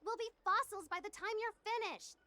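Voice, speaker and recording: Piercing, impatient nagger. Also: female voice, brisk, bright, forceful tone. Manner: shrill, nagging. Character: impatient, shrill female game-table companion, nagging. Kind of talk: video game voice acting.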